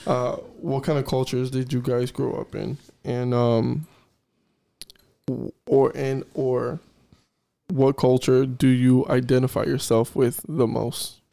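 A man with a gravelly, mellow voice chatting on a podcast. He hesitates, restarts his sentences and fills pauses with ums while talking about his Dominican family. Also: medium pitch, flat delivery. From Dominican family, born and raised in the United States. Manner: hesitant, restarts often, asks the questions. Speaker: podcast co-host. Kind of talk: casual chat.

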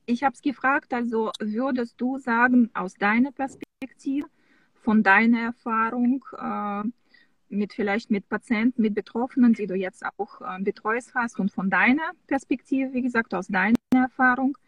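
A woman's voice: a little high, a little slow, dry recording. A woman interviewing a guest, hesitant and searching for words with frequent restarts. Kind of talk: casual interview over video call.

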